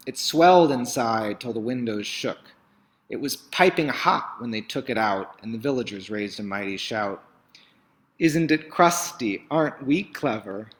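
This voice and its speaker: A man reading a children's picture book aloud in an expressive, theatrical way, with emphatic swells and dramatic hushes. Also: fairly low pitch.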